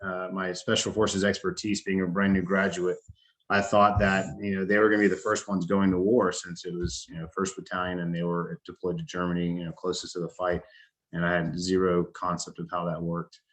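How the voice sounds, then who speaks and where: Man, forties, very deep voice, flat delivery, halting, lots of fillers. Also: rough recording. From United States. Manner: halting, many fillers and restarts. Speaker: veteran speaker. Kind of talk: casual talk to an audience.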